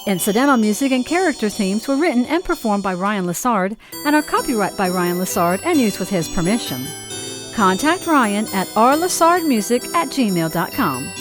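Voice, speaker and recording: A woman's voice: mid-range voice, big pitch swings, moderate pace. Woman announcer reading credits over faint music.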